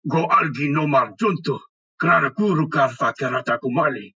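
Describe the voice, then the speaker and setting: Man putting on a gruff, forceful orcish raider. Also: normal speed, far from the mic, highs cut off, exaggerated voice. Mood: guttural, foreign tongue. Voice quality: deep, growling. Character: gruff deep-voiced orcish patrol raiders, wary and commanding.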